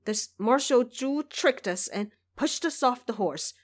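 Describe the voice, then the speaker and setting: A woman's voice: on the high side, lively intonation, a little slow. A woman voicing a shaken, imperious male emperor in an audio drama. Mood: shaken, aggrieved. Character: drowning, panicked emperor, imperial and dazed, man.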